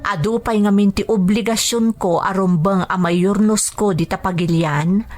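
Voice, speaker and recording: A woman with a Filipino accent playing a patient, anxious young maid working abroad in a radio drama. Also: medium pitch. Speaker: drama actor. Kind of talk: radio drama acting and letter narration.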